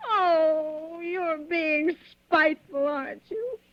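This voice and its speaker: Very high-voiced, querulous invalid wife, stammering and imperious, frantic with nerves; vintage radio thriller, muffled dry sound. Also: female voice, takes it slowly, no room sound. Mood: hysterical, tearful. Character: nervous, demanding, self-pitying invalid wife, frantic with fear. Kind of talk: 1940s radio thriller acting. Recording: dull, dry 1940s radio recording.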